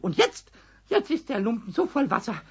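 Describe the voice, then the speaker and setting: A man playing a cheeky, whiny, childish little kobold in a children's radio play, squeaky and theatrical, often repeating words. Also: huge pitch swings, normal speed, breathy edge, exaggerated voice. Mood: whining, protesting. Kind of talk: 1970s German children's radio play acting. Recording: dull vintage radio play recording.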